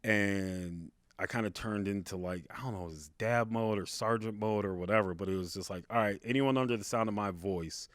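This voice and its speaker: A man with a big voice, a podcast guest, telling a personal story in a casual, halting, conversational way. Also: fairly low pitch, dry recording, studio quality. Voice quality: big, carrying voice. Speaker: podcast guest, stand-up comedian.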